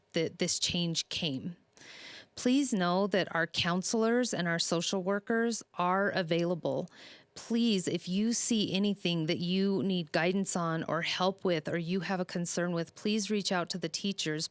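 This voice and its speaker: A woman's voice: fairly low pitch, smooth, no room sound. A woman speaking as a school superintendent, giving an earnest, reassuring public update to families in a semi-scripted way.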